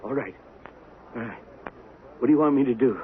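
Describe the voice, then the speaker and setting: An actor playing a nervous, hesitant shopkeeper in a 1970s western radio drama, speaking with an American accent. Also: male voice, medium pitch, normal speed, close to an everyday voice. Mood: fearful, pleading. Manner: anxious, hesitant. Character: nervous, pleading western shopkeeper Clem, hired gun. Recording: muffled, hissy vintage radio recording.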